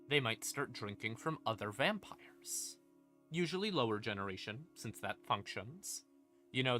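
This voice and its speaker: Slow-paced bright-voiced man streaming game lore. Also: fairly low pitch. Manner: playful, conversational, dramatic pauses and asides. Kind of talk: casual livestream lore explainer. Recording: ambient music behind the voice. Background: creepy ambient music.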